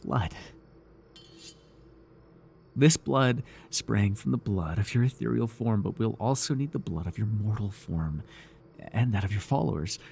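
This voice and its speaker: A man voicing a devoted, nervy, lovestruck cultist in an ASMR roleplay, earnest and halting, shifting between grand and flustered delivery. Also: fairly low pitch, animated, gravelly, darkish tone, a little hiss or hum. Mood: reverent, careful. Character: devoted, awkward young male cultist, zealous, lovestruck, nervy.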